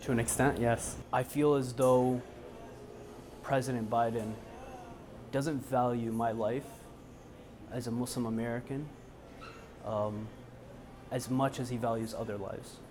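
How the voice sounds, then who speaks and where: A young man, a college student, answering an interviewer in a halting way. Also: medium pitch, fairly even intonation, a little mellow, slight background noise. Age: young adult. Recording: music behind the voice. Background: music.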